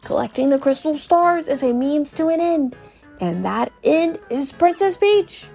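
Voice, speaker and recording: A woman's voice: sits high, expressive, unhurried, gravelly, warm tone, soft background music, heavily acted. A woman voicing a shriveled old male Goomba professor from a video game, explaining things in a fussy, cautious way. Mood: earnest, advising. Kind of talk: video game character read aloud.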